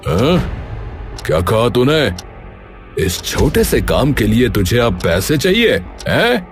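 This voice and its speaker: A greedy cartoon village boss played by a man, cruel and gloating. His pitch swings widely while the pacing stays slow, and music plays behind his threats. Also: sing-song. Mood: menacing. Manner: menacing, taunting, gloating. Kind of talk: cartoon story dialogue.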